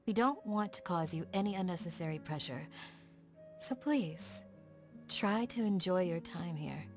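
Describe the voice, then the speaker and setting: A woman voicing a kindly, protective bodyguard in a video game, calm, considerate and reassuring. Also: fairly low pitch, a little slow, over music. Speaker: game character voice actor. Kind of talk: voiced game dialogue.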